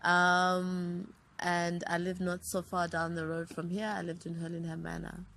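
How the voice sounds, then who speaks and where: A woman in her thirties from Zambia, a writer and producer recalling her childhood in a relaxed interview. She talks in a reflective way, reeling off long runs of names. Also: on the low side, measured pace, gravelly, a little hiss or hum. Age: 30s.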